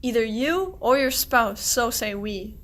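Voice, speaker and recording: A woman's voice: a little high, expressive, a little slow, a bit bright. A woman playing a suspicious, persistent shepherd, delivering pointed, wry archaic verse in a radio play, with light background noise. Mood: accusing. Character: suspicious, persistent shepherd hunting a stolen sheep.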